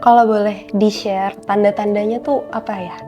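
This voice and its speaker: A woman's voice: mid-range voice, fairly even intonation, normal speed, dark tone, faint music behind. A woman interviewing in a casual, informal and empathetic way.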